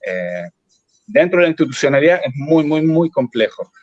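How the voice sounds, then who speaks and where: A man's voice: a little high, sing-song, no room sound, lo-fi sound. A Chilean man speaking as a guest in a political discussion, thinking aloud with many hesitations and restarts over a video call. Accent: Chilean Spanish. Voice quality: halting, many fillers and restarts.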